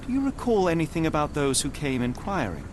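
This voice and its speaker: A Victorian English detective for a game cutscene. He speaks in a slightly high register, formal and exact, with cool condescension. Busy outdoor noise sits clearly behind him. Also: big pitch swings, fairly quick. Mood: inquisitive, measured. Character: coolly rational, haughty Victorian detective, adult man. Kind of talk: video game cutscene acting.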